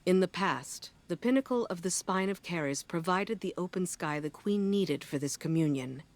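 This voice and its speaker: An actress voicing a solemn, regal woman giving mission orders in a video game, measured and formal. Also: fairly low pitch, expressive. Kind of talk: game dialogue.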